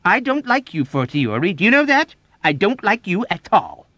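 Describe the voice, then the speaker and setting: A man's voice: mid-range voice, expressive, slow pace, mellow, no room sound, muffled recording. A man voicing a belligerent, easily offended army major in an audiobook, indignant and accusatory. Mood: scornful fury. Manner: indignant, accusatory, badgering, emphatic. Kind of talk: audiobook character acting.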